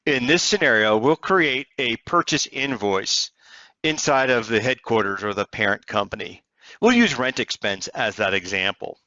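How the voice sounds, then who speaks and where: A man narrating a software tutorial step by step in an explanatory, conversational way. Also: medium pitch, lively intonation, normal speed, dry recording, dull audio. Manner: explanatory, conversational walk-through. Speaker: software demonstrator.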